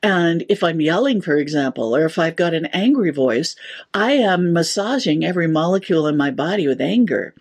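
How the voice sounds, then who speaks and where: Husky-voiced woman explaining with enthusiasm. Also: lowish voice, animated, moderate pace, darkish tone. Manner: enthusiastic, explanatory, laughs easily. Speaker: sound therapist guest. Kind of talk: interview answers.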